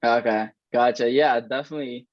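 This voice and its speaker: A young man in his twenties, from Iran, talks loosely, swears often and tags his sentences with "right?". His voice sits at an ordinary pitch. The recording sounds dull and lo-fi, with a dry, close feel. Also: fairly even intonation, brisk, dry recording. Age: 20s. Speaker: co-host. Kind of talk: casual chat.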